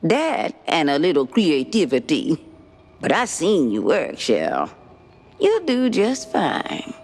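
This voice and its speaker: A woman's voice: deep voice, huge pitch swings, takes it slowly, darkish tone, full character voice. A woman voicing an ancient, sly swamp witch in a cartoon game, with a Louisiana Cajun accent and a folksy, teasing, cryptic drawl. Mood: sly, knowing.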